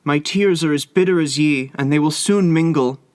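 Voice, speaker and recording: A man's voice: medium pitch, fairly even intonation, moderate pace, dry recording, studio quality, natural voice. A man reading aloud and voicing a desperate young mother in an impassioned, pleading way. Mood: anguished.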